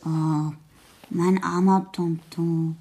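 A woman's voice: fairly low pitch, level tone, extremely slow, warm tone. An actress playing a cheeky, outspoken fifteen-year-old schoolgirl in a radio drama, with a teasing, blunt manner. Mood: frank, eager. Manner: pert, teasing, girlishly blunt. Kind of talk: 1970s German radio play acting.

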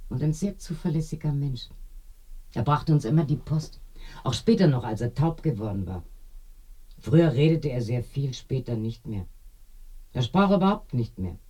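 Chatty old village woman in a radio drama, husky n mellow, very lively pitch, keeps wandering off in her stories. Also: full character voice. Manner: garrulous, digressing storyteller. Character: talkative older village woman, reminiscing and confiding. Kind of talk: German radio play acting.

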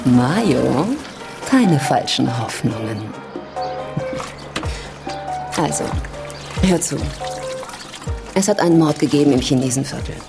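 A confident Cuban policewoman with a low, womanly voice. She teases and directs as in a radio drama, with music behind her. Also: expressive, unhurried, vocal fry, pauses often, full character voice. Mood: businesslike. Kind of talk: radio play dialogue.

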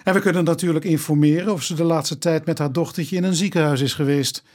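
Male actor as methodical police inspector, radio play, measured and deliberate, slightly high voice. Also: fairly even intonation, darkish tone, natural voice. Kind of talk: radio drama dialogue.

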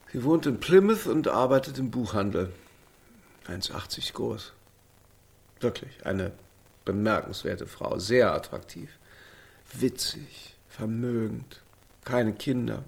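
A man's voice: on the low side, lively intonation, slow pace, creaky, pauses often, slightly rough, full character voice. An actor playing a lonely, tipsy middle-aged father in a radio drama, wry and bitter, with a rambling, self-mocking way of talking. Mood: wry, resigned. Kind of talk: naturalistic radio drama acting.

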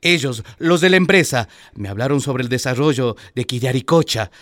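A man's voice: medium pitch, moderate pace, a bit bright, no room sound, clean studio sound, close to an everyday voice. A man playing a contrite, hesitant small-town mayor. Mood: ashamed. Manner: hesitant, apologetic. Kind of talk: radio drama acting.

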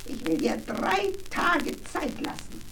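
A man playing a gleeful, spiteful little goblin in a fairy-tale radio play, with a shrill cackling voice and a taunting, gloating delivery. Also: expressive, slow, noisy background, full character voice. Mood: gloating, demanding.

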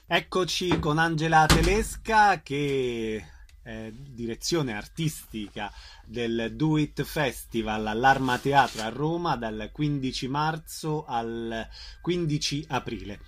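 A man's voice: medium pitch, slow, a little hiss or hum. A man hosting a radio interview about the arts, putting questions to guests.

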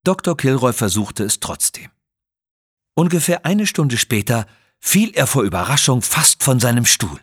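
A man narrating a scripted audio adventure story in an expressive, dramatic storytelling manner. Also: medium pitch, moderate pace, husky, no echo, studio quality.

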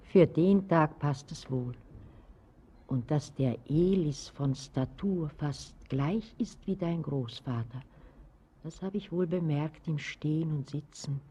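An actress playing an old grandmother in a classic German radio drama, husky, solemn and wistful. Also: low-pitched, slow pace, dark tone, heavily acted. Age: older. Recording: dull, narrow-band old radio sound.

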